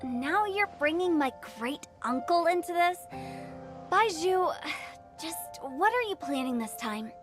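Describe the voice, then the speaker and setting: A teasing young funeral director, very high bright voice, cheeky, faint game music. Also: female voice, expressive, takes it slowly, heavily acted. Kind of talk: video game voice acting.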